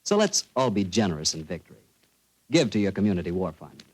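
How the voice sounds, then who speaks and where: A man's voice: low-pitched, sing-song, quick pace, poor audio. An American man working as a radio host and announcer, with folksy, polished salesmanship in a 1940s broadcast style.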